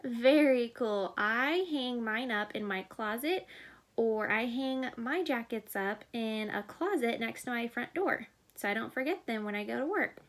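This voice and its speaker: A woman's voice: a little high, lively intonation. A young woman, a pediatric therapist, reading a picture book aloud to children and asking them questions, recorded in a small living room at home. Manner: warm, slow, teacherly, asks kids questions. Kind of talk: children's story time read-aloud.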